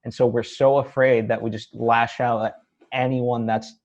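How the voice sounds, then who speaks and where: A man's voice: mid-range voice, level tone, normal speed, lo-fi sound. A man hosting a conversational interview, feeling his way thoughtfully through long questions. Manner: hesitant, thoughtful, rambling questions. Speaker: interviewer, show host.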